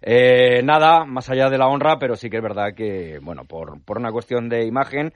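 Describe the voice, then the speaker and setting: A man's voice: mid-range voice, moderate pace, dry recording. A man presenting the sports slot on a radio talk show, chatting fluently with many fillers.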